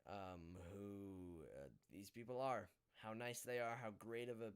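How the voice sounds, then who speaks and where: A man hosting a casual live podcast over an online call, talking in a hesitant, rambling way with halting delivery. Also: fairly low pitch, clear, resonant tone. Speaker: podcast host.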